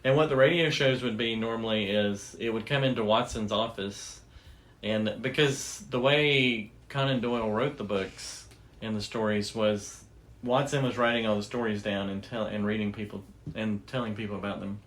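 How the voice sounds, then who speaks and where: A man's voice: fairly low pitch, fairly even intonation, normal speed, fairly bright tone. A man co-hosting a show, explaining things in a casual, enthusiastic and informal storytelling way. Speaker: podcast co-host.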